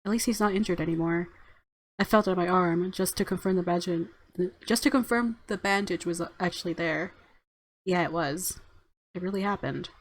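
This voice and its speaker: A woman's voice: fairly low pitch, moderate pace. A woman game streamer reading a visual novel aloud and reacting casually. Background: faint game music.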